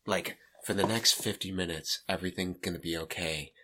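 A man with a nasal voice co-hosting a podcast in casual comedic chat, now and then dropping into soft mock-seductive voices. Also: deep voice, fairly even intonation, a little fast. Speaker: podcast co-host. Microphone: desk USB condenser mic.

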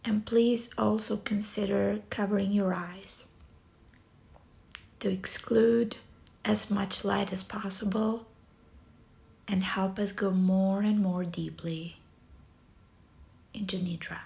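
Slow, creaky-voiced woman guiding relaxation. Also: mid-range voice, steady tone. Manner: soft, soothing, breathy delivery with long pauses. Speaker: yoga nidra guide. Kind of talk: guided relaxation meditation.

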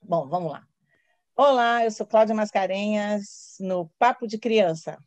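A woman with a Bahian accent hosting a talk show, speaking casually in an unhurried way with hesitant restarts. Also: mid-range voice, darkish tone, no room sound, muffled recording. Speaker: host. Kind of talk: casual spoken opening.

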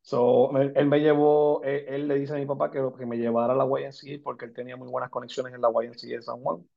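A man's voice: mid-range voice, little pitch movement, fast talker, creaky, highs cut off. A man in his forties with a Puerto Rican accent, chatting as an interview guest and mixing Spanish and English with lots of fillers. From Puerto Rico. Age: 40s. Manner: switches between Spanish and English, many fillers. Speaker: guest, basketball agent. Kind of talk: casual interview talk.

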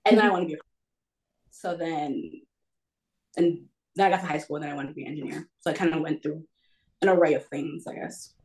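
A woman's voice: low voice, quick pace, long pauses, a little mellow, poor audio. A woman in her twenties, from Florida, talking casually and hesitantly with many fillers over a video call. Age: 20s. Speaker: guest. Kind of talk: casual chat.

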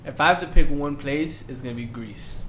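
A man's voice: medium pitch, brisk, sharp tone. A young man co-hosting a podcast, joking and defending himself while talking over his friends. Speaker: podcast co-host. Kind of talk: casual chat among friends.

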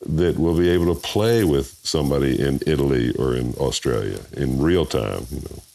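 A man's voice: moderate pace, creaky, mellow. An older man, a bandleader and voiceover artist, chatting in an interview as a rambling storyteller who chuckles often. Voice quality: very deep voice. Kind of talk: casual interview chat.

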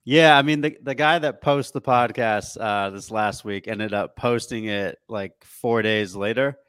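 A man's voice: fairly low pitch, a little slow, fairly bright tone, smooth, dry recording, clean studio sound. A man co-hosting a podcast, chatting casually about sports in a slangy, mildly sweary way. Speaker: podcast co-host.

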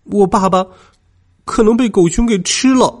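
A man's voice: high voice, animated, moderate pace, breathy edge, pitched above the performer's own voice. A man voicing an anxious young child in a storybook, pleading urgently and stumbling over words. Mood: near tears. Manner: urgent, stammering, near tears.

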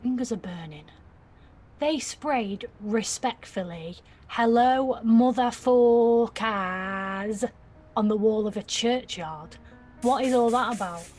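A woman's voice: fairly high pitch, slow pace, a little mellow, faint music behind. An actress playing a sulky, defiant nineteen-year-old girl in an audio play, blunt and slangy. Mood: blunt, puzzled. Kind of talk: audio theatre acting.

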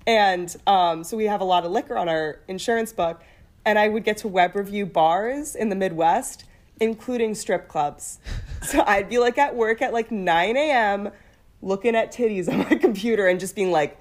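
A young woman with a fairly deep voice, chatting casually, laughing often and swearing freely. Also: moderate pace. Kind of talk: casual chat.

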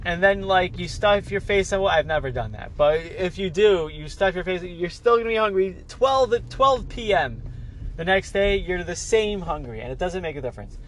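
A man's voice: sits high, expressive, moderate pace, a little hiss or hum, low-quality recording. A man with a cold and a nasal, stuffed-up voice, chatting casually as a podcast host in a rambling way, stumbling and repeating words as he restarts sentences. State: has a cold, congested. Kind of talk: casual solo chat.

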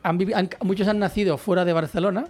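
Fast-talking Catalan man, rambling. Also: sits high, fairly even intonation, a little mellow. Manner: rambling, many restarts and "o sea" fillers. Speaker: guest writer and journalist. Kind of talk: casual interview chat.

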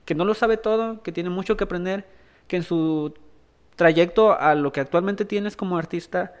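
A man's voice: high-pitched, moderate pace, smooth. A man hosting a casual interview, speaking in a friendly way with slang like "bro" and "güey". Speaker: podcast host.

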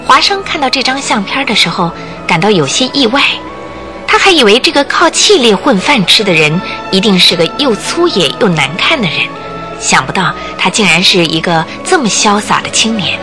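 A woman's voice: medium pitch, very animated, normal speed, over music. A woman narrator telling a scripted radio drama story in a vivid, emphatic way.

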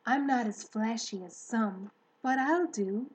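A woman voicing a kindly, self-assured female spider in a children's storybook, composed, articulate and gently matter-of-fact. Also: on the high side, takes it slowly, husky, rough recording. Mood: calm, candid.

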